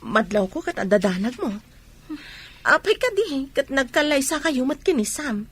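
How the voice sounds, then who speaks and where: A woman acting an inquisitive, concerned Filipino friend in a radio drama, with a husky voice. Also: high voice, expressive, moderate pace, fairly bright tone. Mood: concerned, probing.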